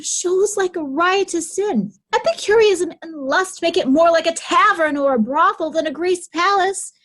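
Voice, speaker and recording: A woman's voice: very high-pitched, moderate pace, fairly bright tone. A woman playing a haughty royal daughter in a Shakespearean reading, formal and reproving. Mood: stern, reproving. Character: haughty royal daughter scolding her aged father.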